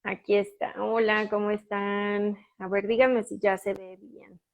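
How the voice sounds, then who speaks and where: Mexican woman, live stream host, casual chatter, fillers and restarts, middle pitch. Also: little pitch movement, measured pace. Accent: Mexican Spanish. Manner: chatty, many fillers, restarts while waiting on guest.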